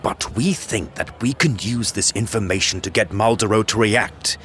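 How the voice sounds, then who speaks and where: An actor playing an earnest, idealistic revolutionary in an audio drama. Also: male voice, fairly low pitch, moderate pace, a bit bright, lightly acted.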